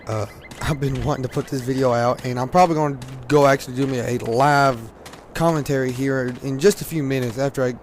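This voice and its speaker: A hoarse teenage man from Louisiana, rambling casually with lots of fillers and laughs while commenting on a game at a desk mic. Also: mid-range voice, fairly even intonation, brisk, slight background noise. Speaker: gaming commentator.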